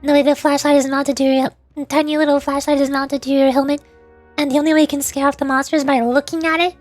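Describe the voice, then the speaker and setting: A woman's voice: high-pitched, level tone, quick pace, faint music behind. A woman livestreamer chatting casually and playfully in a rambling way while gaming. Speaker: VTuber livestreamer.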